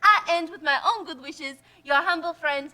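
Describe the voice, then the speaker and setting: An excitable young noblewoman. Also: sits high, normal speed. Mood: reading aloud. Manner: breathless, dramatic, exclaiming. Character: emotional young noblewoman reading a letter.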